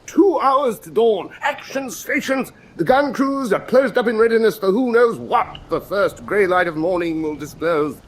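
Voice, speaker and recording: Pompous, high-pitched British naval commander. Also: male voice, animated, normal speed, hardly any pauses. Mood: dramatic storytelling. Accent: British English. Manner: pompous, self-satisfied, theatrical storytelling. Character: pompous, boastful middle-aged British naval commander spinning war tales. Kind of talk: radio drama acting.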